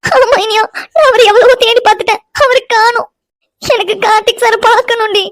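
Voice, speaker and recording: A woman's voice: extremely high pitch, steady tone, a little fast, a bit bright. A woman playing a distraught, lovesick young woman in an audio melodrama, crying and whining insistently. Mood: tearful, desperate. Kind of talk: melodramatic audio drama dialogue.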